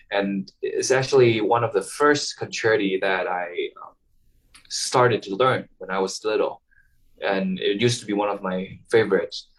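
Hesitant young man, somewhat low. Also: recorded from a distance, rough recording. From Taiwan. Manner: hesitant, many ums and restarts. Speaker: violinist guest. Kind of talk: casual interview answers.

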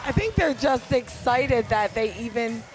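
A woman co-hosting a casual show, chatty and teasing, excitable in her remarks. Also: on the high side, a little slow, music in the background. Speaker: co-host. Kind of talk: casual chat.

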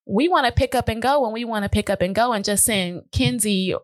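A woman's voice: fairly high pitch, brisk, dry recording, studio quality. Rambling woman podcast host. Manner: rambling, many restarts and "like". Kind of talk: casual podcast chat.

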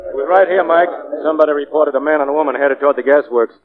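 A man's voice: a little high, rapid-fire, a crowd in the background, lightly acted. A man acting a businesslike junior cop in a 1940s radio drama, clipped and matter-of-fact. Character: businesslike subordinate cop reporting to his boss. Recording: tinny, narrow old radio broadcast.

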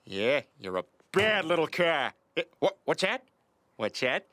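A woman's voice: low voice, very animated, unhurried, fairly bright tone, no echo, full character voice. A woman voicing a sleazy, lecherous cartoon man in an exaggerated, suggestive way. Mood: sleazy, seductive.

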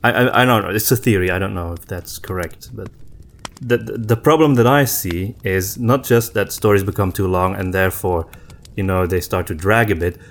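A man with a fairly low voice talks as a podcast co-host. His delivery is informal and conversational, working through his thoughts aloud in a tentative way. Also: moderate pace, slight background noise. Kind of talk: casual discussion of storytelling.

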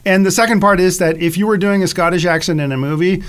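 A man's voice: high voice, quick pace, a bit bright, clear, resonant tone. A man hosting a casual chat, rambling and restarting his sentences a lot, apparently over a video call. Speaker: host.

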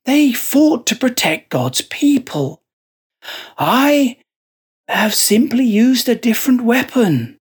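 A reader voicing a scrawny, fanatical male monk in a storybook horror tale, with a high, strained and frantic delivery that rises to shrieks. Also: expressive, slow pace, breathy edge, exaggerated voice. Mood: zealous.

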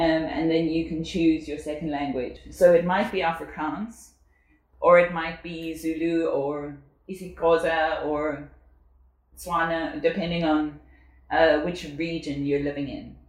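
A South African woman in her forties speaks in a low voice. She talks reflectively, pausing on fillers, and the sound rings around an echoey room. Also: steady tone. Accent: South African English. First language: English. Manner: reflective, with frequent fillers and laughs. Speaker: guest. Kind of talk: casual conversational interview.

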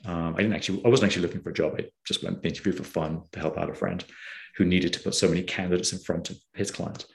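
Deep, fry-edged male guest from the UK, chatty storyteller who voices quotes. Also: racing. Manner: animated storyteller, acts out quoted dialogue. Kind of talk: casual storytelling interview.

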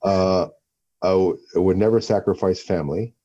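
Brief: low, mellow-toned man, interview guest, loose and rambling, slightly dull audio. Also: moderate pace, husky. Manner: rambling, repeats himself while thinking. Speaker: guest, actor and former fighter. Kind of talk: relaxed interview talk.

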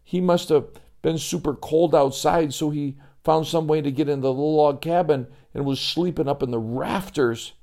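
A man's voice: fairly high pitch, moderate pace, vocal fry, a little mellow, voice pushed up. A man voicing a young boy, Joey, who is easily frightened and talks in a raised, nervous voice with stammers. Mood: worried. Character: scared young boy Joey, jumpy and high-strung.

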